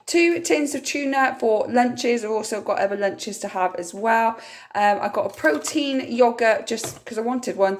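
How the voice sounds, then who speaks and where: A woman chats in an easy, homely way, her voice a little croaky and hoarse from a dry cough. She rambles on in a mid-range voice. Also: medium pitch, slight background noise. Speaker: vlogger. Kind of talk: casual grocery haul vlog.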